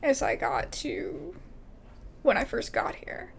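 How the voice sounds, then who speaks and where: A woman's voice: high-pitched, huge pitch swings, slow, creaky, slight background noise. A woman doing casual video game commentary and reading dialogue aloud, with informal, exclamatory asides.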